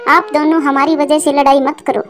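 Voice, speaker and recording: A woman's voice: high-pitched, little pitch movement, brisk, faint music behind, natural voice. A woman voicing an anxious, devoted young widowed mother bird in a cartoon, with an earnest, pleading way of talking. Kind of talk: Hindi moral-story cartoon dialogue.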